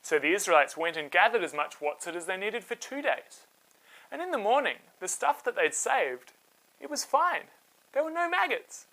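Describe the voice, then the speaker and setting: Casting: bright, highly expressive children's Bible storyteller, with very lively pitch swings and plain conversational delivery. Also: normal speed, poor audio. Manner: expressive, simple conversational retelling.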